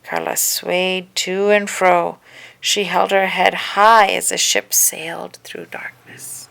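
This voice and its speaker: A woman narrating a children's picture book aloud in an expressive, scripted storytelling style. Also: on the low side, slow, creaky.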